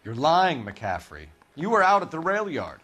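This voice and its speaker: An actor playing a young, hard, pressing American police detective from the 1940s, clipped and accusatory in a dramatic video game. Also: male voice, a little high, wildly swooping pitch, moderate pace, fairly bright tone. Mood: accusing.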